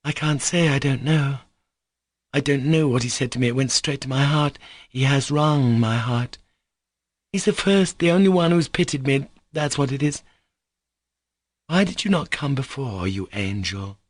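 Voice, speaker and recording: Man doing a passionate young woman, raised high, fierce hysterical outbursts, proud, tearful. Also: normal speed, no room sound, clean studio sound, close to an everyday voice. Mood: pleading. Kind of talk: audiobook character reading.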